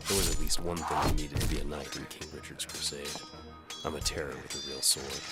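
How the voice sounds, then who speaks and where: Audio drama lead, male actor: bottomless-pitched deadpan medieval mercenary, hardboiled narration, heavy music. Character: world-weary, wry medieval sword-for-hire narrating his adventure.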